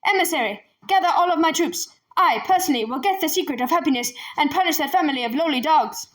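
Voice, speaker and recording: An imperious storybook tyrant played by a woman, high in pitch and full of rage. He snarls his demands and commands like one used to being obeyed. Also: animated, fast, low-quality recording. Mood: scornful, commanding. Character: enraged, imperious tiger magistrate, male tyrant.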